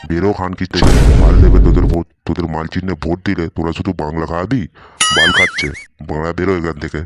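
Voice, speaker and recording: A man's voice: low-pitched, fast talker, over loud music, natural voice. A man voicing a foul-mouthed, irritable old village grandpa in a comedy cartoon, scolding stubbornly. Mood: angry refusal. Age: older. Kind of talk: Bengali comedy cartoon voice acting. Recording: noisy audio with clicks.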